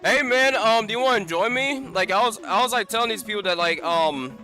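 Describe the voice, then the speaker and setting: Bright-voiced man streaming a game. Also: high-pitched, lively intonation, fairly quick, faint music behind. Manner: rambling, many fillers and restarts. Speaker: gaming streamer. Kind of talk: casual live-stream commentary.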